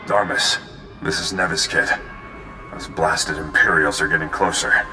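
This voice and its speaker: An actor playing a defiant, plain-spoken guerrilla rebel leader and former police captain in a video game. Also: male voice, rumbling low, measured pace, heavily acted. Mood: defiant resolve. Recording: echoey voice under loud music. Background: loud game music.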